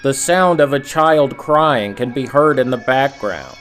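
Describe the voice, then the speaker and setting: A man narrating scripted horror commentary as a host, in a measured storytelling manner with rhetorical asides. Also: mid-range voice, a bit bright, faint music behind. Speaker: video essay host and narrator.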